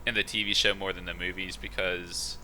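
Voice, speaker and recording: A man with a deep, very bright and forceful voice talks on a fan podcast. He sounds eager and keen, his points are strung together with ums and you-knows, and a faint hiss sits under him. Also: flat delivery, brisk, rough recording. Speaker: podcast co-host. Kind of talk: casual fan podcast chat.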